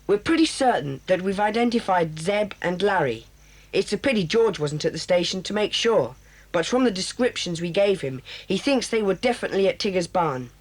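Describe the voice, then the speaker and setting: A man's voice: high-pitched, animated, fairly quick. An actor playing an earnest boy who leads a children's club in a radio drama, laying out plans with confidence. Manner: earnest, planning, in charge.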